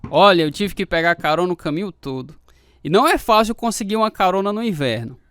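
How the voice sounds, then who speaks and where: Casting: earnest young Brazilian sailor husband, reassuring, very animated intonation, dry radio drama studio sound. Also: male voice, sits high, fast, clear, resonant tone, dry recording. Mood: upbeat, affectionate. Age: young adult. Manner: reassuring, expressive.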